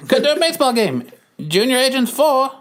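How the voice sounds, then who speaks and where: A man's voice: very high-pitched, big pitch swings, fairly quick, a bit bright. A man narrating stage directions aloud for an amateur comic reading on a podcast.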